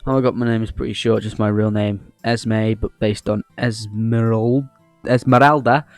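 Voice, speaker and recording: A man's voice: steady tone, moderate pace, no echo, faint music behind. A man with an English accent giving casual, rambling gaming commentary in a cheeky, joking manner. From England. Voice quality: deep voice. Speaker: host of a gaming video channel. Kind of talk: casual rambling playthrough commentary, reading game dialogue aloud.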